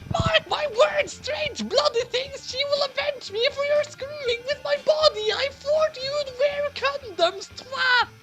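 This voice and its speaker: An indignant comic-story woman played by a man, pitched extremely high. The delivery is shrieky and overdramatic, over faint music. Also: slow pace, hardly any pauses, fairly bright tone, heavily acted, low-quality recording. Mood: vengeful, dramatic.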